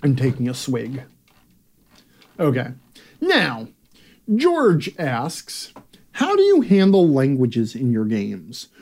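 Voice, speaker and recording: A man's voice: medium pitch, wildly swooping pitch, slow pace, pauses often, darkish tone. A man reading his own opinion column aloud as a scripted comic rant, brash and sarcastic, with theatrical emphasis and plenty of swearing. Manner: brash, profane.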